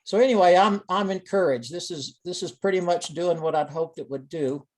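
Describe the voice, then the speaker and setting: A man's voice: sits high, normal speed. A man giving an informal technical presentation on a conference call, explaining things step by step. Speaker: presenter of experimental data. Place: online teleconference call.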